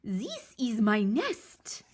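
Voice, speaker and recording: A woman's voice: fairly high pitch, wildly swooping pitch, extremely slow, heavily acted. Woman doing a fussy, theatrical storybook duck. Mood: possessive. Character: flamboyant, fussy female duck crying out in French.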